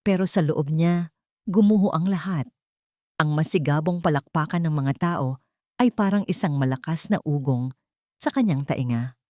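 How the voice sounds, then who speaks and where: A woman with a fairly low voice narrates a scripted romantic drama. Her delivery is measured and solemn, and the sound is dry, with very little room in it. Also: mellow, no echo. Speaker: story narrator.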